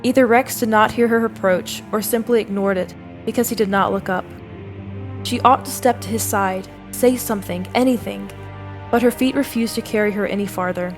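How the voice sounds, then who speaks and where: A woman giving scripted dramatic narration for an audio drama, telling the story in a measured, solemn way. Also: mid-range voice, faint music behind.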